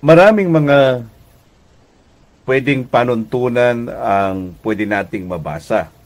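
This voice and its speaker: A Filipino man preaching a radio devotional as pastor and host, deliberate in delivery, with pauses and emphatic repetition. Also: medium pitch.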